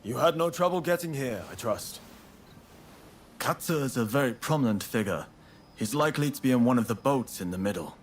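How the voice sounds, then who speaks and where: An actor playing a composed samurai rebel in a video game, speaking in a measured, businesslike way as he plots. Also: mid-range voice, expressive, soft background music, lightly acted. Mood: calm, conspiratorial. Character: composed male samurai rebel plotting an assassination. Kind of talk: video game cutscene acting.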